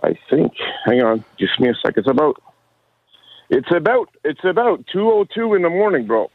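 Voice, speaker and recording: A man in his sixties calling a radio show, rambling casually in a halting, chummy way. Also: on the high side, big pitch swings, no echo. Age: 60s. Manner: halting, chummy, says "bro" often. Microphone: phone. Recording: dull band-limited call-in line.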